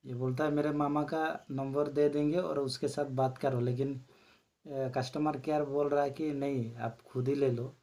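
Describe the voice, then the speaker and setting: A man hosting his own channel, chatting casually to viewers and explaining a recorded call. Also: mid-range voice. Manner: relaxed, explains slowly with fillers. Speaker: channel host.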